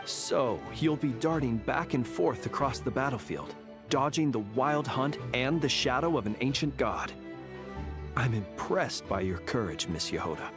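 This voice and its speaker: A man's voice: medium pitch, lively intonation, a little slow. An actor voicing a polite, composed male ally. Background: game music.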